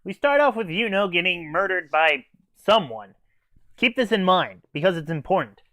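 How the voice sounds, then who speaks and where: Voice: medium pitch, very animated, normal speed, a bit bright, dry recording. A reviewer hosting a scripted comic review, playing the exasperated straight man. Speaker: host, anime reviewer.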